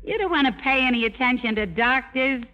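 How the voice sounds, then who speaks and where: A woman's voice: sits high, fairly quick, close to an everyday voice. A woman playing a blunt, wisecracking, big-hearted nurse in a 1950s radio drama. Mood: wry, brisk. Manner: blunt, slangy, reassuring. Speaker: actress and narrator. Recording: muffled old radio recording, music behind.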